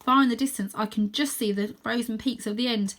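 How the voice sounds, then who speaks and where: A woman reads a children's adventure story aloud in a bright, forceful voice. Her pitch sits a little high, and her delivery is expressive and suspenseful, with dramatic emphasis. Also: fairly quick. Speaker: storybook reader, teacher.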